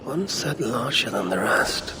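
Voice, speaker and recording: An actor playing a grim, commanding Norse god warrior in a video game, with a gravelly, breathy voice and a measured, brooding manner. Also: male voice, mid-range voice, expressive, close to an everyday voice. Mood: grim, pondering. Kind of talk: dramatic game voice acting, brooding narration. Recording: heavy ambient game noise behind.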